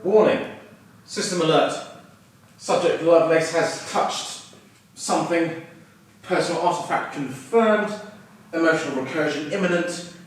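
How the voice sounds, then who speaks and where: A man's voice: fairly high pitch, measured pace, long pauses, soft background music, close to an everyday voice. Man acting a fussy system alert voice, very echoey. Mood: urgent, official. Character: fussy malfunction-alert system voice, dramatic and dismayed. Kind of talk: theatrical announcements in a staged reading. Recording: echoey room, distant mic, hissy noise.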